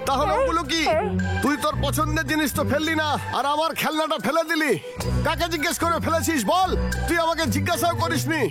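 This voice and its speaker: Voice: sits high, steady tone, fast, lightly acted. An actor voicing a bossy, short-tempered big brother bear in a children's cartoon dub, with a scolding, exclamatory manner. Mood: angry, scolding. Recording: loud cartoon music behind.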